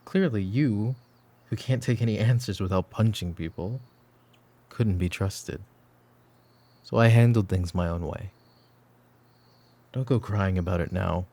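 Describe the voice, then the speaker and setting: A brooding mafia boss acted by a man speaks in a dark, mellow, fairly low voice at a very unhurried pace. He is calm and commanding, with a protective, teasing edge. The delivery is soft and intimate, in the manner of a roleplay ASMR drama. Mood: firm, reassuring. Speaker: voice actor.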